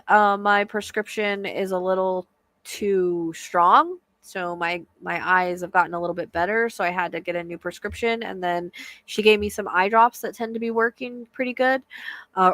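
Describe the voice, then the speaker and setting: A woman's voice: medium pitch, moderate pace, fairly bright tone, dry recording. A woman hosting a casual live chat as a psychic medium, with a soothing voice and a chatty, hesitant way of talking full of fillers.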